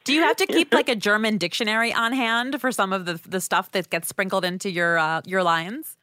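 A woman interviewer asks friendly, chatty questions full of casual fillers. Her voice is mid-pitched. It is recorded dry and close. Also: brisk, sharp tone, no echo.

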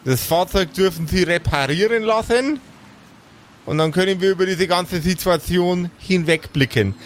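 A man's voice: sits high, moderate pace, soft background music, pitched above the performer's own voice, close to an everyday voice. A man playing a stern, officious security guard who admonishes in formal address in an improvised audio role-play.

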